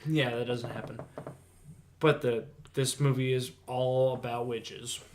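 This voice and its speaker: A man's voice: mid-range voice, fairly even intonation, measured pace, darkish tone, a little hiss or hum. A man co-hosting a casual horror movie podcast, rambling with lots of fillers. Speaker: podcast co-host. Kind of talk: casual chat.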